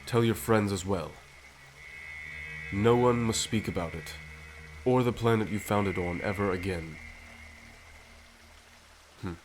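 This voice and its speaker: A man acting a mysterious, composed visitor with uncanny powers in an audio roleplay, speaking formally and deliberately. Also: on the low side, over music, lightly acted, rough recording. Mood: calm, cryptic.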